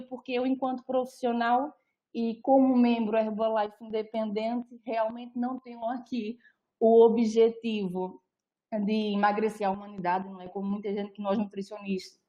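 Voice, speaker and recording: A woman's voice: on the high side, warm tone, lo-fi sound. A young adult woman with a Brazilian Portuguese accent, a nutritionist presenting an online talk in a friendly, explanatory way with frequent fillers.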